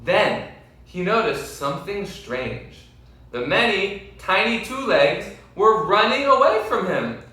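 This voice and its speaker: Slow, animated male storyteller, echoey room. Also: high voice. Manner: deliberate, dramatic phrasing with questions to listeners. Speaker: read-aloud storyteller. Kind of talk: expressive children's story reading. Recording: very echoey room, distant mic.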